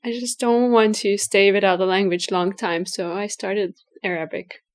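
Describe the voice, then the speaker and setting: A woman's voice: medium pitch, fairly even intonation, moderate pace. Hungarian-accented woman, hesitant and thoughtful. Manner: hesitant, thinking aloud with restarts and fillers. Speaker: guest artist. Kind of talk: casual interview.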